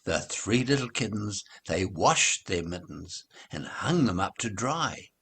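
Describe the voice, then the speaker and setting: A man reading nursery rhymes aloud as a storybook recitation, in a measured, rhythmic delivery. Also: fairly low pitch, lively intonation, creaky, fairly bright tone, slight background noise.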